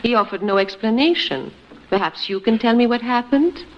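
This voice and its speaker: A woman's voice: fairly high pitch, moderate pace, close to an everyday voice. An actress playing a charming, flirtatious lady language teacher in a 1950s radio comedy, coy and theatrical. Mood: poised, puzzled. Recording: muffled, noisy 1950s radio recording.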